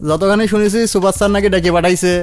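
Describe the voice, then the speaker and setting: An actor playing an exasperated young hostel student who blames and schemes in a comic radio drama. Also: male voice, high-pitched, steady tone, rapid-fire, faint music behind, lightly acted. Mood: annoyed.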